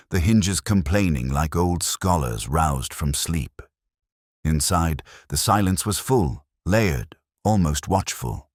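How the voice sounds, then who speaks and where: A man with an extremely low voice narrates a detective mystery in the first person. His delivery is measured and solemn, with expressive swings in pitch. The recording is dry and close. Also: dry recording.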